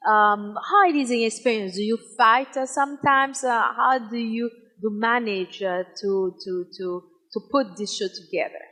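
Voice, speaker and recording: A woman host with a slightly high voice puts questions to guests. Her phrasing is halting and unidiomatic, and the room adds long reverb. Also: bright, forceful tone. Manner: halting, non-idiomatic phrasing. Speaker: television show host, interviewer. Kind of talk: interview questioning.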